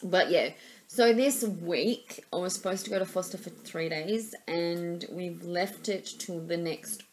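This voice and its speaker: A woman's voice: lowish voice, a little slow, rough recording. A woman in her thirties with an Australian accent, chatting casually to her webcam in a small room, rambling with lots of fillers and repeats, her nose stuffy and her throat sore. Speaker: vlogger. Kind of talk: casual vlog chat. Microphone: webcam at desk level.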